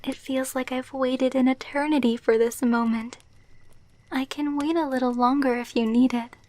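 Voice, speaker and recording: A woman voicing a tender, devoted vampire girlfriend in an ASMR roleplay, speaking softly and intimately, often near a whisper, close to the mic. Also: high-pitched, steady tone, moderate pace, lightly acted. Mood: tender, patient. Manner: soft, intimate, breathy delivery, often dropping to whispers. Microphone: close microphone, dry.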